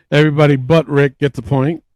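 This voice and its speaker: A man's voice: fairly high pitch, gravelly, no echo. A man hosting a podcast game, bantering casually and handing out turns. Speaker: podcast host running a game.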